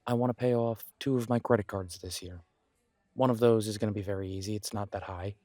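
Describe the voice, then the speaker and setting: A low, closely recorded, dry voice of a man acting as a supportive male best friend in a roleplay audio. He talks casually and earnestly, pausing as he goes. He is encouraging and happy to poke fun at himself. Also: fairly even intonation, dark tone, dry recording, natural voice. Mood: encouraging, candid. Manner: earnest, encouraging, conversational with pauses. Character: supportive, self-deprecating male best friend, young working adult.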